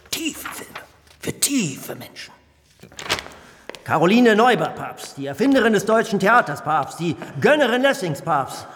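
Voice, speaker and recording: A man playing a pompous, menacing Nazi propaganda minister in a German radio play, theatrical, swinging from hushed to booming. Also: a little hiss or hum. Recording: long hall reverb.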